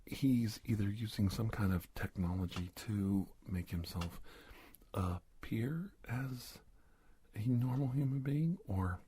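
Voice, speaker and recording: A man playing an earnest, hesitant secret-agent doctor in a soft-spoken ASMR roleplay, halting, confiding and gently coaxing. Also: on the low side, a little hiss or hum. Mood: hushed, confiding.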